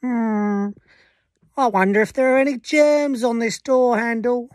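A woman voicing cartoon children and grown-ups from a picture book with a drawn-out delivery. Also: on the high side, fairly even intonation, a little mellow, natural voice. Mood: wondering. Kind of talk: children's storybook character voices.

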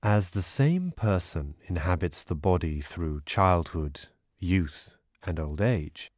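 A man voicing a calm, authoritative divine teacher in an audiobook scripture, grave and deliberate. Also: sits low, dry recording, studio quality, exaggerated voice.

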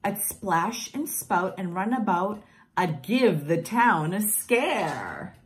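A woman whose first language is Portuguese reads picture books aloud to children in a gentle, encouraging, singsong way. She is filmed at home on a close webcam. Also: mid-range voice, very animated, takes it slowly. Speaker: teacher reading picture books. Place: home room with plants, indoors. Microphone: webcam at close range.

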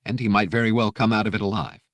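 A man's voice: fairly low pitch, steady tone, fast talker, fairly bright tone, no room sound. A man reading fiction as an audiobook narrator, in a dramatic scripted style.

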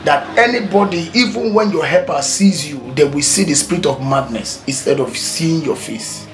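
A calm pastor, played by a man, counsels a troubled visitor in a measured, preaching manner. His voice sits a little high for a man, with faint music behind. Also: natural voice.

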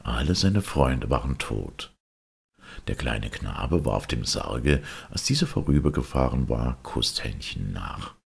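A man narrating a fairy tale expressively, with a gravelly, husky voice. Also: rumbling low, animated, a little slow. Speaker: audiobook narrator. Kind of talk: expressive scripted storytelling.

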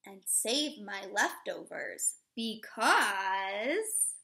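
A woman in her thirties, a teacher reading a picture book aloud to young children in an expressive, instructive way. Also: medium pitch, extremely slow, vocal fry. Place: living room at home, indoors.

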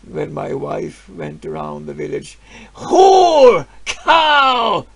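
An actor playing a grieving, stubborn peasant farmer, telling his story in a halting voice that swings from hushed to loud. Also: a little high, expressive, gravelly, breathy edge, full character voice. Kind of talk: dramatic storytelling monologue.

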